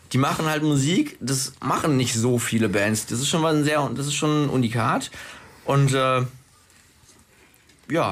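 A man's voice: mid-range voice, a bit bright. A man chatting casually with wry humour as a band member being interviewed in a radio studio.

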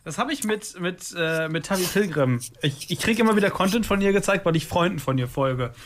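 A woman's voice: lowish voice, lively intonation, brisk. A woman hosting a casual interview in an informal, playful way. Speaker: radio interviewer and host.

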